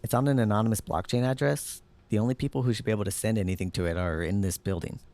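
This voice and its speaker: A man's voice: on the low side, fairly even intonation, fast talker, a little mellow, lightly acted. A man acting in an audio drama as a casual, matter-of-fact tech hacker.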